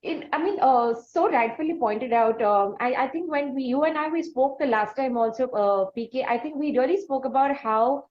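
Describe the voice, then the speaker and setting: Higher-pitched Indian woman interviewer, dull audio. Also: moderate pace, few pauses. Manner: wordy, hesitant, circling questions. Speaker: podcast host and interviewer. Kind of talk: conversational interview.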